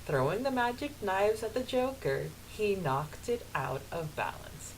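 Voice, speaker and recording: A woman co-hosting a podcast, chatting casually in a giggly, animated way as she discusses a story and reads out bits of it. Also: medium pitch, measured pace, rough recording. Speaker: podcast co-host. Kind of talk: casual fan discussion with read-out quotes. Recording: hissy background noise.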